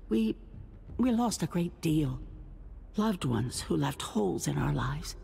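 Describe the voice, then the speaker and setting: An actress playing a courteous, persuasive female settlement leader in a video game, speaking with genteel, measured politeness. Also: fairly low pitch, creaky, mellow, a little hiss or hum, heavily acted. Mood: sombre, earnest. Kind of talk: naturalistic dramatic game acting. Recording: music under the voice.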